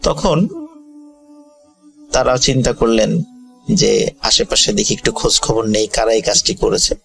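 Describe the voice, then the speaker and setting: A man narrating a ghost story to listeners as a storyteller, in a measured, formal and polite manner. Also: on the low side, vocal fry. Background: music.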